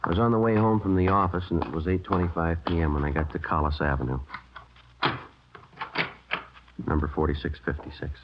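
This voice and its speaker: A weary American police detective in an old radio drama, with a very deep voice and brisk, deadpan delivery. He is polite and understated. The recording is muffled and clearly noisy. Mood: matter-of-fact. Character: weary, polite male police detective sergeant, dutiful son. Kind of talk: 1950s radio drama acting and narration.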